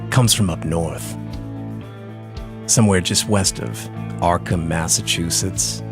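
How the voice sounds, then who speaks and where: A man playing the sly, spooky tomb-keeper host of a horror radio series, speaking theatrically with ominous, deliberate pauses. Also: low voice, gravelly, heavily acted. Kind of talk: old-time horror anthology radio hosting. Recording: music behind the voice.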